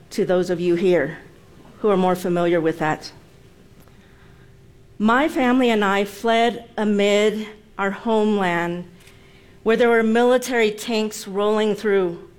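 Slow, measured woman born in Vietnam. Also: medium pitch. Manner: slow, measured, storytelling delivery. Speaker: speaker. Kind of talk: prepared speech to an audience. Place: stage before a live audience.

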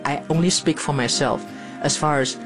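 A woman, speaking plainly and earnestly in an interview, with pauses and fillers. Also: low-pitched. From Taiwan. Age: 70s. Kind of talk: documentary interview. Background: music.

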